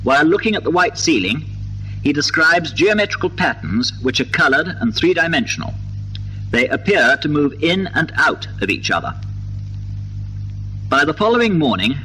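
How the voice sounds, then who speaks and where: A British man delivering formal, precise, measured scripted narration for a 1950s documentary, on an old film soundtrack. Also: on the high side, very animated, sharp tone, slight background noise, rough recording.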